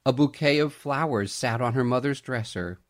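A man reading a story aloud as scripted narration, with an expressive, dramatic storytelling delivery. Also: mid-range voice, moderate pace.

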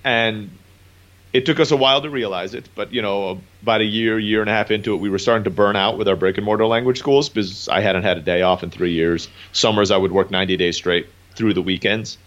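Guy in his 30s rattling off his story fast, kinda low voice, muffled lo-fi call sound. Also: a bit bright. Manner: fast, rambling, with many fillers. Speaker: guest entrepreneur. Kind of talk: relaxed storytelling interview.

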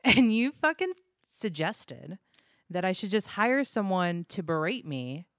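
A woman with a deep voice guesting on a podcast, chatting casually with self-mocking humor and swearing. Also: very animated, measured pace, a bit bright, no echo, studio quality.

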